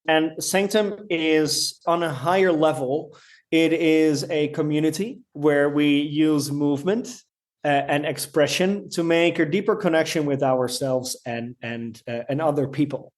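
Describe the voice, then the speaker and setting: A man's voice: fairly high pitch, fairly even intonation, unhurried. A man from the Netherlands with a Dutch accent, answering interview questions in a friendly way with some hesitant fillers. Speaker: guest, wellness movement founder.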